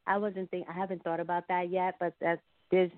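A woman's voice: fairly low pitch, flat delivery, a little fast, no room sound. A woman speaking casually as a musical guest in an interview. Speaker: singer being interviewed as guest. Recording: muffled phone-like line.

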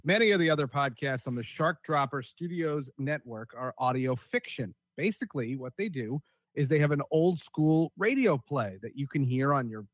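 A man's voice: mid-range voice, no echo. A man hosting a podcast in a casual presenting style. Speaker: podcast host.

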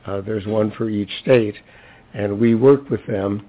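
An older man with a hoarse voice gives an informal, chatty, lightly humorous introduction at a public event. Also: lowish voice, fairly even intonation, unhurried. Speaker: library center director introducing a speaker. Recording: thin, phone-like event recording.

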